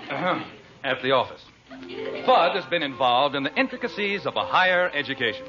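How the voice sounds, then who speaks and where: Music behind, wry old time radio narrator, dull sound. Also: male voice, on the high side, animated, a little fast, sharp tone. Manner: mock-solemn, wry. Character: wry, smooth radio comedy storyteller. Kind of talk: 1940s radio scripted narration. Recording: dull 1940s radio recording.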